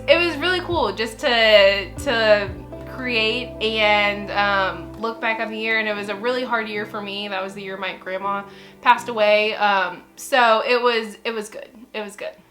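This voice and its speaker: A woman in her late twenties chats to camera in a personal vlog, upbeat and quick to laugh. Her voice sits a little high for a woman. Music plays clearly underneath her. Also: sharp tone. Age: 20s. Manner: chatty and upbeat, laughs often. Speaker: vlogger.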